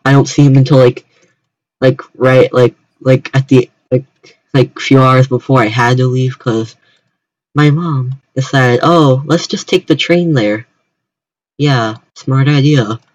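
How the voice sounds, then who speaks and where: A young American man chatting casually on a livestream, talking haltingly with many repeated starts. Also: medium pitch, fairly even intonation, darkish tone, rough recording. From United States. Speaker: streamer.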